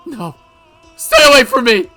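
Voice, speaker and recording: A paranoid teenage girl, played by a man, suspicious and easily frightened. The voice is tense and very sharp, with pitch that leaps around and music underneath. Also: huge pitch swings, a little slow, heavily acted. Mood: terrified. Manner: accusing, stammering, shrieking when cornered.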